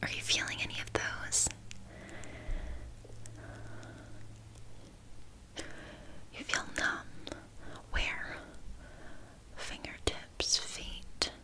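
Flaky, scammy witch for whispered ASMR roleplay, played by a woman: soft breathy whisper, halting casual rambling, blithely unbothered. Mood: uneasy, reassuring.

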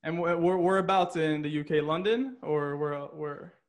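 American male interviewer, friendly chatter, voice a bit higher than average. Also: moderate pace. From United States. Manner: chatty, laughs often, lots of "like" fillers. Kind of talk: casual interview.

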